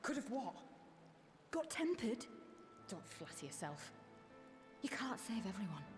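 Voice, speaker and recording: Game role: hardened, sneering young female fighter, wide-swinging pitch over prominent score. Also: very animated, unhurried. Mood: scornful. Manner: mocking, blunt. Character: bitter, scornful young female soldier, hardened realist. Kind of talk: dramatic video game cutscene acting. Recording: orchestral music behind the voice.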